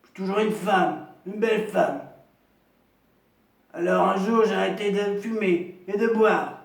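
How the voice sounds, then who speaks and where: A man playing a lonely, proud woman in her fifties, a chain-smoking heavy drinker with a deep, gravelly voice who rambles slowly and repeats herself in a comic monologue. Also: fairly even intonation, echoey room, recorded from a distance. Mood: rambling. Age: 50s. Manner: slow, rambling, repetitive, self-pitying.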